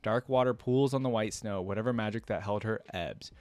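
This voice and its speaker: A man with a fairly low voice reads a story aloud and reacts casually as he goes. He is an informal host given to exclaiming and interjecting his own thoughts, recorded close and dry. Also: brisk, darkish tone, no echo.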